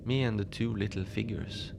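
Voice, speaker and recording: A man playing a mild, unbothered middle-aged station manager in a cozy audio drama, confiding in a halting, rambling, understated way. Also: fairly low pitch, creaky, background noise. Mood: wry storytelling. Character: mild, unbothered lone Antarctic night-shift station manager. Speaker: podcast fiction narrator, lone facility manager on radio.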